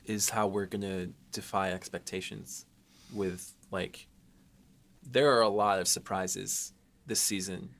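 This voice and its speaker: A man's voice: low-pitched, a bit bright. A man talking as a co-host in a casual behind-the-scenes chat, eager and informal, building up hype. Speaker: podcast host and series writer.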